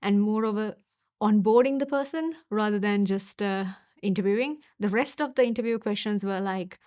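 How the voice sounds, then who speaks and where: A woman's voice: mid-range voice, darkish tone, no room sound. Young Sri woman, dry-toned. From Sri Lanka. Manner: thoughtful, unhurried, pausing with fillers. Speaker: university student. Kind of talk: casual testimonial.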